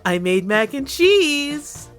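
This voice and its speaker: A woman's voice: sits high, big pitch swings, slow, soft background music, heavily acted. A woman playing a doting, cheerful mother in an audio drama, sweet and coaxing. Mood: cheery, coaxing. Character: doting, cheerful mom calling her child to eat.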